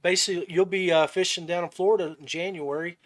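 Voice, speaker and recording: A man with a fairly high voice hosts a relaxed fishing-style podcast conversation. He talks in a halting way, doubling back and restarting phrases as he chats with his guest. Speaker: podcast host. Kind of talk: casual chat interview.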